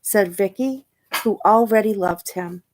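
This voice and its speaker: Slow-paced woman reading a picture book. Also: mid-range voice, slight background noise. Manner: expressive, pausing to question and point things out to young listeners. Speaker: storytime reader and teacher. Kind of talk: read-aloud of a children's picture book.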